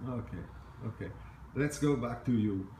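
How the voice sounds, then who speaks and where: Male interviewer, hesitant non-native phrasing, dark mellow voice, roomy echo. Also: mid-range voice, normal speed. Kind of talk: casual event interview. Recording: roomy echo, faint noise.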